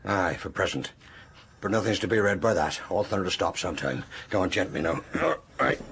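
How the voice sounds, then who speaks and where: An actor playing a wary, pragmatic country innkeeper in a radio drama, folksy and measured in speech. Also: male voice, sits low, lively intonation, vocal fry, fairly bright tone, full character voice. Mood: calm, wry. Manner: folksy, measured, rustic turns of phrase. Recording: noisy old-time radio broadcast.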